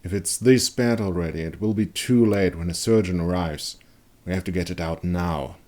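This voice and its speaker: A man voicing a grim veteran monster hunter in a dark fantasy story, speaking gravely and honestly. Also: deep voice, fairly even intonation, lightly acted. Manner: grave, hushed, deliberate.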